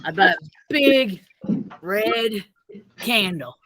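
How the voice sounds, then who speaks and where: A woman reading the part of a slow-witted, earnest wild-bearded man in a children's story, in a simple, halting manner. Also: medium pitch, expressive, fairly bright tone, a little hiss or hum. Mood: dim, dreamy.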